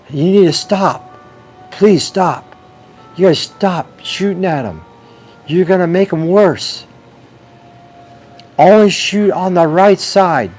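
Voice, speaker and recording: A man's voice: fairly high pitch, voice pushed up. A man voicing a panicked male doctor begging others to stop. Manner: urgent, pleading. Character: frantic pleading male doctor.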